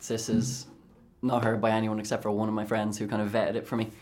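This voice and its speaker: A man's voice: fairly low pitch, completely flat delivery, fast, darkish tone. A man chatting casually as a musician guest in a radio studio. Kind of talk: casual chat.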